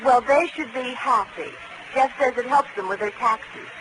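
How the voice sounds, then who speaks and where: An actress playing a flirtatious, breezily amoral carnival daredevil with an airy, teasing manner in a 1940s radio crime drama. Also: female voice, on the high side, moderate pace, close to an everyday voice. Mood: defensive, breezy. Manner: coy, airy, nonchalant, teasing. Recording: noisy old 1940s radio broadcast.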